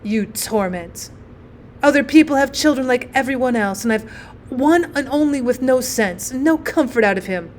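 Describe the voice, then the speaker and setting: A woman's voice: sits high, animated, moderate pace, darkish tone, slight background noise. A woman voicing an overwrought, self-pitying mother who scolds and laments in a storybook reading. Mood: bitter, lamenting. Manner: lamenting, melodramatic.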